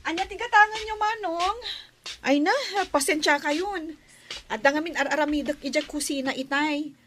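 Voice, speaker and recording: A woman's voice: sits high, normal speed, fairly bright tone, a little hiss or hum. A woman with an Ilocano accent playing a polite young village store girl in an audio drama. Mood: polite, unhurried. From Philippines.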